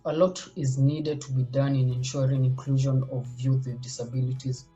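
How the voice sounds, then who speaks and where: A man's voice: mid-range voice, flat delivery, moderate pace, warm tone, highs cut off, soft background music. A man from Kenya making earnest advocacy statements with slight hesitations.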